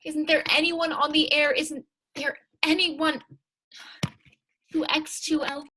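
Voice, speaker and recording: A woman's voice: sits high, little pitch movement, slow pace, background noise. A young woman playing a desperate, lonely radio operator who calls out repetitively and pleads in a radio play. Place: bedroom.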